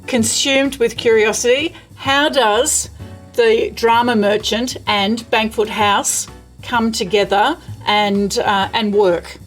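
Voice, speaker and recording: A woman's voice: fairly high pitch, steady tone, slow pace, faint music behind. A woman hosting a casual interview, speaking in an effusive, enthusiastic way. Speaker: interview host. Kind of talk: casual video call interview. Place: remote, not at the guest's location.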